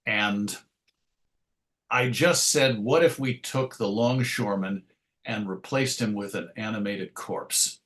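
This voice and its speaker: A man's voice: on the low side, slow, a bit bright. Chatty middle-aged man reminiscing. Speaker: interview guest, comics writer and editor. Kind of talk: casual interview, reminiscing anecdotes.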